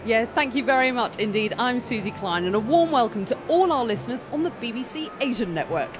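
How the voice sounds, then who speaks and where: A woman's voice: on the high side, animated, brisk. A woman presenting live radio from a concert hall, chatty and enthusiastic. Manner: enthusiastic, conversational. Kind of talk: live radio broadcast chat. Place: concert hall beside the orchestra. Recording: live broadcast with orchestra music behind.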